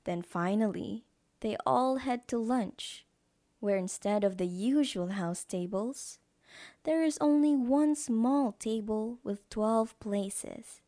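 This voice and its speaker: A woman's voice: on the high side, slow pace, warm tone. A woman reading scripted narration as the narrator of an audio drama.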